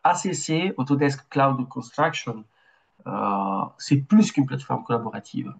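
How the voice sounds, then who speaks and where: A man in his forties from Italy with an Italian accent, a bit ill, presenting a webinar as a consultant and speaking haltingly with many hesitations. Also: medium pitch, animated, gravelly, husky. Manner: halting, with frequent hesitations and restarts. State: slightly ill.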